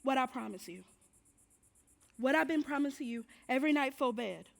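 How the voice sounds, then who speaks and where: A woman's voice: sits high, lively intonation, normal speed, gravelly. A woman playing a firm, hopeful enslaved mother in a stage drama, coaxing and admonishing in folksy period speech. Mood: firm, reassuring. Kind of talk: stage play acting. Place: indoor theatre stage.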